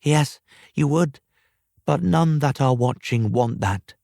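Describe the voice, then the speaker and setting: Man as female spirit, storybook ghost, raised pitch, calm, formal, cryptic, guiding, dry sound. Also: slow, creaky, no room sound. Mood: serene. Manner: serene, formal, cryptic instruction.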